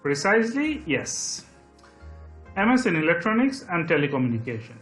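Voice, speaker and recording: A man's voice: a little high, sing-song, moderate pace, fairly bright tone, faint music behind. A man playing a witty, bookish young Indian student in an audio drama, with an Indian accent. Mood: teasing. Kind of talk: scripted audio drama dialogue.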